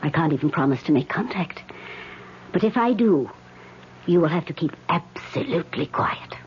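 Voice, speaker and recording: An actress playing a solemn woman medium in a radio drama, speaking deliberately in a hushed, portentous way. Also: low voice, lively intonation. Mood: grave, careful. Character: solemn woman spirit medium holding seances. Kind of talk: 1970s radio drama acting. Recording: dull, hissy old radio recording.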